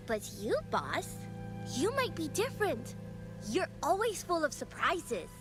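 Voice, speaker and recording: A perky anime game companion with a high, very lively voice. She teases casually and takes her time over phrases, with loud music underneath. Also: a little slow. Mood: teasing.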